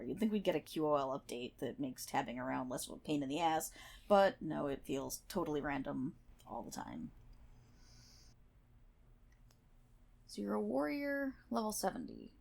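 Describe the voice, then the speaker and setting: Woman doing a prickly tsundere mmo healer for asmr roleplay, low raspy voice, sarcastic n sweary. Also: darkish tone, exaggerated voice. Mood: irritated, scornful. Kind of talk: ASMR roleplay, spoken one-on-one to the listener.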